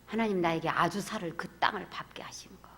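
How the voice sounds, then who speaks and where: A woman pastor preaching a fervent sermon, swinging from loud cries to hushed whispers. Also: fairly low pitch, brisk.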